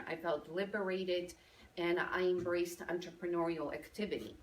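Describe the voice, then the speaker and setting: A woman from Poland with a Polish accent, giving a lively motivational business talk to a live audience. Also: on the low side. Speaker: speaker. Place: event room with audience. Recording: echoey room from a distance.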